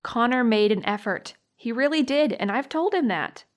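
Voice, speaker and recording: A woman's voice: a little high, animated, moderate pace, close to an everyday voice. Thoughtful, fair-minded teenage girl played by a woman. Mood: understanding. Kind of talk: audio drama monologue, police interview testimony.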